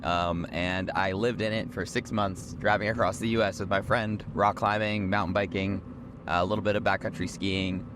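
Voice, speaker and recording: Young male co-host, low voice, hoarse and tired, loose rambling chat, car road noise underneath. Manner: rambling, self-correcting, chatty. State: hoarse, short on sleep. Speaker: podcast co-host. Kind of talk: casual chat on a road trip. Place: moving car.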